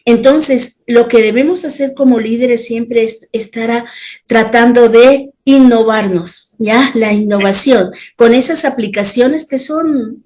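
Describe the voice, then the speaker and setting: A woman from Colombia talks with animation on a church panel. Her voice sits on the higher side, and she keeps checking in with short tag questions. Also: measured pace. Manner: animated, tags phrases with ¿ya? and ¿no?. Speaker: church leader and teacher. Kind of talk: panel discussion.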